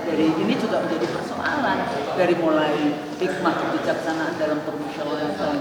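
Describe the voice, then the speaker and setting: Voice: medium pitch, brisk. An interview guest giving political commentary in an emphatic way, asking rhetorical questions and answering them. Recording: echoey large hall, distant mic, loud crowd.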